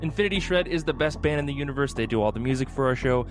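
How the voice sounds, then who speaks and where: A man hosts a casual podcast, talking quickly and enthusiastically. His pitch is average for a man, and the style is loose and chatty, with faint music behind the voice. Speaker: podcast host.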